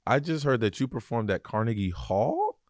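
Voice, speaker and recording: A man's voice: medium pitch, sing-song, moderate pace, no room sound, clean studio sound. A man in his thirties hosting a podcast interview, upbeat and friendly with slangy reactions. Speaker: podcast host, former football player. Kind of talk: casual interview chat. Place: dark studio. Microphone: studio mic on boom arm.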